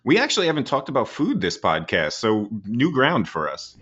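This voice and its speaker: A man with a softened New York accent, co-hosting a podcast in easygoing, jokey chat and laughing now and then. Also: medium pitch, huge pitch swings, moderate pace, slightly rough, lo-fi sound. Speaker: podcast co-host.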